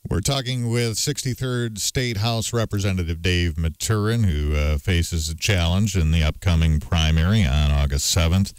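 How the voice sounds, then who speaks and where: A man hosting a morning radio show, asking questions in a measured way with frequent fillers. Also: sits low, smooth, dry recording. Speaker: radio host. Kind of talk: radio interview.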